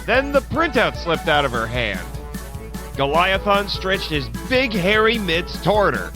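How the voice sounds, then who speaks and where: A man narrating a scripted first-person adventure story in a theatrical, expressive way, acting out his own dialogue as he goes. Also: high voice, unhurried, music in the background. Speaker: podcast host narrating an audio story.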